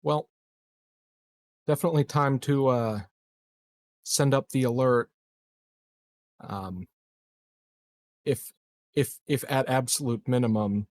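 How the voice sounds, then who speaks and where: A man playing a tabletop roleplaying game, chatting casually and thinking aloud in a halting way. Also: mid-range voice, a little mellow, dry recording.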